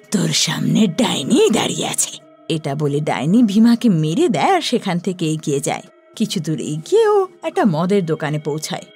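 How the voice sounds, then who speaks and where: A woman narrating a scripted horror story in a dramatic, expressive way. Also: lowish voice, breathy edge.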